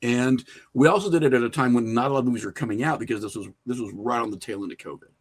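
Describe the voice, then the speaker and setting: A man's voice: medium pitch, rapid-fire, slightly rough. A man talking informally as an interview guest, telling anecdotes in a self-deprecating, conversational way. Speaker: podcast guest, indie filmmaker.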